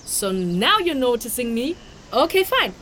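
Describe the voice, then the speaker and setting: A chatty, flippant young woman voiced by an actress with a high, very animated voice. She throws in sarcastic asides and plays peacemaker in a fantasy audio drama, with ambience clearly audible behind her. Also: measured pace, slight background noise, poor audio. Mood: chirpy.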